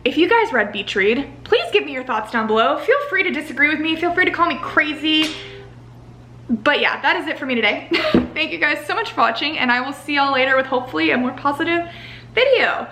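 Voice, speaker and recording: A woman's voice: high-pitched, animated, fairly quick, sharp tone, a little hiss or hum. A young woman giving a casual, rambling book-review vlog, blunt and dramatic in her emphasis, leaning heavily on filler words. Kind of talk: casual, chatty opinion review to camera. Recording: echoey untreated room.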